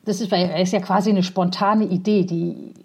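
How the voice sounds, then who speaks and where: A woman guest speaking about cycling and city traffic in a casual interview, thoughtful and frank, pausing often with fillers. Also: fairly low pitch, fairly even intonation, a little mellow, slightly rough.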